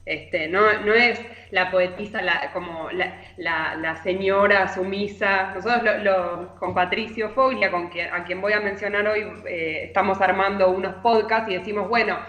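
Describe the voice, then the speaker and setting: A woman's voice: medium pitch, fairly even intonation, normal speed, few pauses, a bit bright. An Argentine woman giving informal literary commentary as a radio columnist, congested, wandering and correcting herself as she goes. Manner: discursive, self-correcting. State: congested with allergy or flu. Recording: roomy echo.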